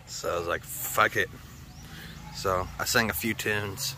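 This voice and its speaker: A man's voice: low voice, steady tone, unhurried, sharp tone, a little hiss or hum. An American man with a slightly sore throat, rambling casually and swearing often as he chats for a vlog. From United States. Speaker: vlogger. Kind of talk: casual chat.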